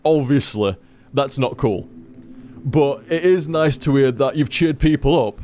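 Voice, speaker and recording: A man's voice: mid-range voice, measured pace, mellow. A man streaming, chatting casually as he reads out viewer tips, rambling, repeating himself and swearing freely.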